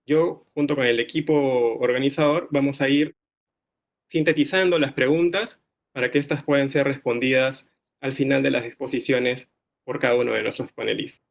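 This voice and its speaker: Gravelly-voiced man moderating a webinar. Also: mid-range voice, fairly even intonation, moderate pace. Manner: formal, orderly introductions. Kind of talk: moderating an online event.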